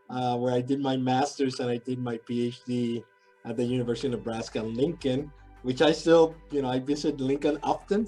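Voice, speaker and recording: A man's voice: mid-range voice, mellow, low-quality recording. A middle-aged man from Puerto Rico, a sociology professor talking as a guest in a casual interview, thoughtful and hesitant with many restarts.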